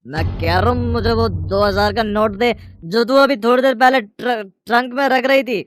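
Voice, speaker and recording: A woman voicing a drunken, bullying and wheedling father in an animated Hindi moral story. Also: fairly high pitch, normal speed, no room sound, studio quality, close to an everyday voice. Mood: threatening. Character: drunken, violent, wheedling father, a grown man.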